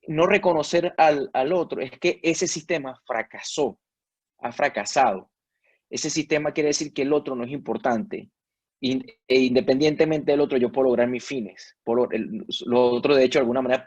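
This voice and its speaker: A Venezuelan man on a discussion panel, speaking thoughtfully and hesitantly, pausing often with fillers and restarting his sentences. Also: mid-range voice, darkish tone, no room sound, highs cut off. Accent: Venezuelan Spanish. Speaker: panel guest.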